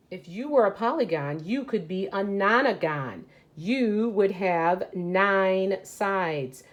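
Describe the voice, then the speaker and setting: A woman teacher reading aloud to children and explaining as she goes, often asking questions and answering them herself. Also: medium pitch. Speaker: elementary school teacher. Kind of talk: read-aloud lesson for children.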